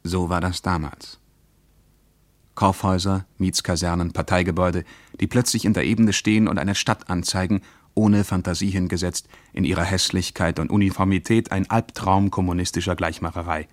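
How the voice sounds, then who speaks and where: An actor as a deep-voiced, brooding man remembering. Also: steady tone, normal speed, no room sound, close to an everyday voice. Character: man recalling wartime Russia, brooding and reflective. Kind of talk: literary radio play monologue.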